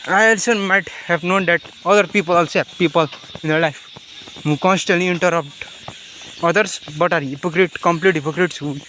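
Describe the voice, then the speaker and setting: High-voiced Nepali man, halting and rambling. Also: bright, forceful tone, a little hiss or hum, low-quality recording. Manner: halting, rambling, repeats phrases. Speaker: vlogger. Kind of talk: unscripted personal monologue.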